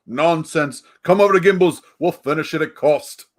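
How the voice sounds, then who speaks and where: A man's voice: fairly high pitch, normal speed, lightly acted. A man playing a brash, competitive rival department store boss in a 1940s-style radio play.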